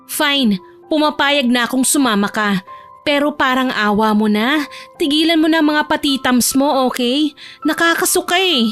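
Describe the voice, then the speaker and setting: A woman voicing a feisty, sharp-tongued young rich woman, snappy and sarcastic, in a romance audio drama. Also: high-pitched, normal speed, faint music behind, pitched above the performer's own voice. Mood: exasperated.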